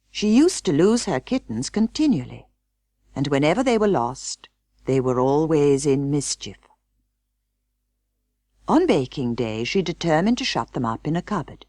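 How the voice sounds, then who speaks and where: A woman narrating a children's storybook in a measured, scripted storytelling style. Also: deep voice, darkish tone, no room sound, clean studio sound. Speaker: audiobook narrator.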